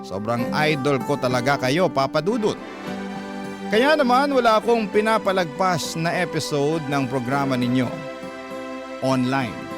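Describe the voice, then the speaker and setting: Higher-pitched male radio storyteller over music. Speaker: radio host and narrator. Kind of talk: dramatic scripted narration, reading listener letters. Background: background music.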